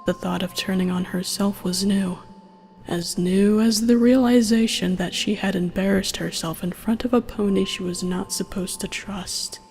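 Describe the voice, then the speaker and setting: A woman's voice: medium pitch, steady tone, moderate pace, creaky, a little mellow, breathy edge, faint music behind. A woman reading a scripted story aloud as its narrator. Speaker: narrator and reader.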